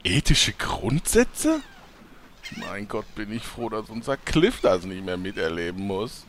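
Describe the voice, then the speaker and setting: Casting: male actor as dim, sulky fantasy sidekick, lowish voice, lively swings, parody radio play, faint noise. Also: measured pace. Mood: indignant. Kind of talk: German comedy audio drama parody.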